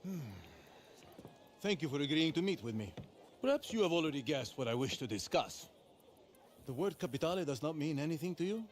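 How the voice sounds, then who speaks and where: An actor voicing a refined, menacing crime boss with an Italian accent, speaking formally and imperiously in a game cutscene. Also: male voice, on the high side, animated, brisk, long pauses, a little hiss or hum, lightly acted. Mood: smooth, calculating. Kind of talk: video game cutscene acting.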